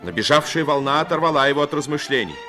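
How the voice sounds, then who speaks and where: A man's voice: on the high side, lively intonation, quick pace. A man giving scripted dramatic narration in a 1950s radio play. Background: music.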